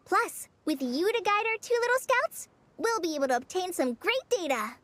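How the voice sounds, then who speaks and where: A woman's voice: very high voice, big pitch swings, moderate pace, fairly bright tone, exaggerated voice. A woman voicing a cheerful, eager young field researcher, perky and polite, in an anime-style video game. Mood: upbeat explaining. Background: background music.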